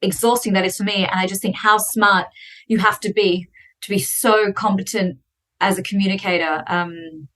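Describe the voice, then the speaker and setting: A woman in her thirties, a podcast guest chatting in an animated, fast storytelling way. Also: medium pitch. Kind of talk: casual conversation.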